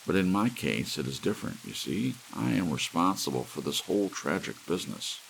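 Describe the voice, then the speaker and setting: Man acting a brainy, emphatic elderly scientist, gravelly. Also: low-pitched, big pitch swings, a little fast, a bit bright, slight background noise, pitched above the performer's own voice, heavily acted, rough recording. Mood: rueful. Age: 60s. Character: brisk, brainy elderly male scientist, intent and self-assured.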